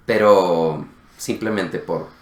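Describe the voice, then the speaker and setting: A man from Colombia with a Colombian accent, co-hosting a casual conversation and explaining in a relaxed, agreeable way. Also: low voice, slow, a crowd in the background. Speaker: co-host. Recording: echoey room.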